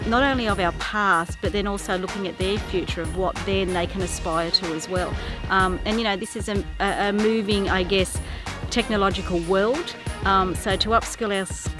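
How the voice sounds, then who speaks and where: A woman with an Australian accent, an educator speaking thoughtfully with frequent pauses in a documentary interview. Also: mid-range voice. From western Sydney, Australia. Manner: thoughtful, with many fillers.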